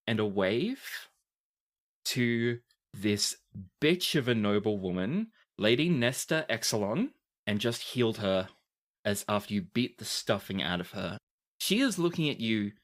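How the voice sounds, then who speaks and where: Deliberate game master narrating fantasy scenes. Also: mid-range voice. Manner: deliberate, descriptive storytelling.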